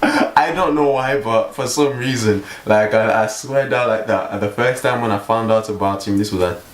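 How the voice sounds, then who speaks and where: A young man vlogging in a casual, rambling way, with a mellow voice and a joking, self-mocking manner. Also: on the low side, normal speed. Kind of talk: casual vlog monologue. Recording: echoey room with faint hiss.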